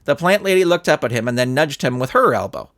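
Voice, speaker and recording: A man's voice: mid-range voice, animated, fast talker, fairly bright tone. A man narrating a scripted story as an audiobook reader. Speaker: narrator and author.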